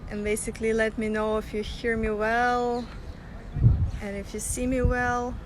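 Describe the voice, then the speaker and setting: A woman's voice: a little high, level tone, unhurried, poor audio. A young woman from Russia leading a live-streamed walking tour outdoors, talking loosely and laughing often. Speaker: tour guide. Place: sunny city streets outdoors. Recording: phone audio with street noise.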